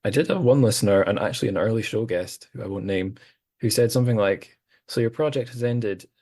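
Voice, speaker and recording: A man's voice: lowish voice, brisk, dark tone, breathy edge. A man hosting a podcast, chatting casually with guests, rambling and self-deprecating with many restarts. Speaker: podcast host. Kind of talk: casual group chat.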